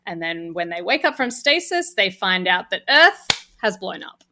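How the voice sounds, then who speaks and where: A woman's voice: mid-range voice, sing-song, moderate pace, background noise. A woman speaking as a podcast guest in a casual interview chat. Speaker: podcast guest, audio drama co-creator.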